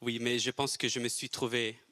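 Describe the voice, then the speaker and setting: A man's voice: medium pitch, little pitch movement, normal speed, pushed and bright, no echo. A modest Italian man in his thirties with an Italian accent, answering briefly as a contestant on a talent show stage. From Italy. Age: 30s.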